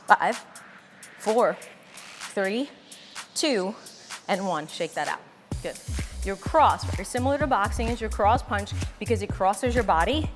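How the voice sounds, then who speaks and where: A woman in her thirties coaching a workout, giving energetic, encouraging cues and instructions. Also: mid-range voice, big-room sound. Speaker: fitness instructor. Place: bright wood-floored fitness studio. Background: background music.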